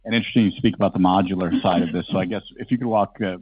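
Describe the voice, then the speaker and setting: A man moderating a conference session, introducing the company and asking questions briskly. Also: deep voice, steady tone, slightly rough. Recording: dry, dull lo-fi sound.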